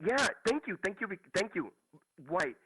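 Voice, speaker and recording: A man's voice: high-pitched, big pitch swings, moderate pace, sharp tone, breathy edge, poor audio. A man from Mexico with a Mexican Spanish accent, calling in to a talk show and searching for words with many restarts. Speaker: caller. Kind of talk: casual call-in chat.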